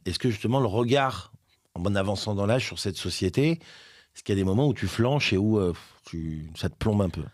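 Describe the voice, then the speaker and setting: Lower-voiced man asking interview questions. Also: fairly quick, dry recording, studio quality. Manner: relaxed, conversational questions. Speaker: podcast host.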